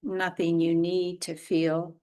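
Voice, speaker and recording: A woman leads a guided relaxation as a yoga teacher. Her voice sits a little low, and she speaks very slowly. She delivers short, soothing phrases with space between them. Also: flat delivery, a little mellow.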